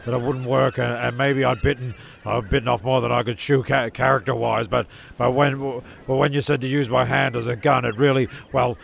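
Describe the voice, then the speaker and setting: A man's voice: mid-range voice, fairly even intonation, a little fast, vocal fry. A man playing a flustered, sweary narrator in a comedy audio drama, stammering and repeating himself. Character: flustered, rambling, sweary male narrator, easily exasperated.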